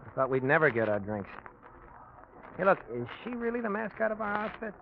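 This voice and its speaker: An actor playing a brash, cynical young American fighter pilot in a 1940s-style radio drama, sarcastic and slangy. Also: male voice, high-pitched, very animated, fairly quick. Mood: dry impatience. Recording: muffled noisy old radio recording.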